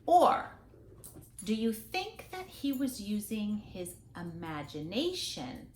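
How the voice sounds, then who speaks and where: A woman's voice: mid-range voice, very animated. A woman reads a picture book aloud to young children expressively, with dramatic stressed words and chatty asides. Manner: dramatic, drawn-out delivery with stressed key words. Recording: echoey, roomy sound.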